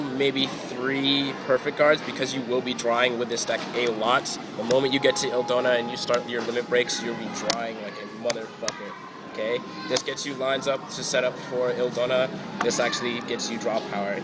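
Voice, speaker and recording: A man walking through his card game deck in a relaxed, offhand way, swearing now and then and saying he is sick and losing his voice. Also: mid-range voice. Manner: laid-back, swears casually, trails off with fillers. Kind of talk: casual deck walkthrough. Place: hobby store.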